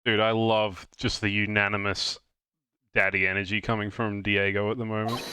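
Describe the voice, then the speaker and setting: A man's voice: lowish voice, little pitch movement, moderate pace, bright, forceful tone, clear, resonant tone, no room sound, clean studio sound. A man joking casually in an amused way.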